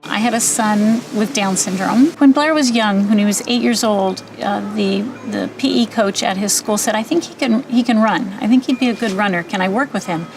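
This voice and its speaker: A woman's voice: medium pitch, moderate pace, hardly any pauses, soft background music. A middle-aged or older woman, a talent agent, telling her personal story in an interview. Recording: distant mic, noisy traffic-like ambience.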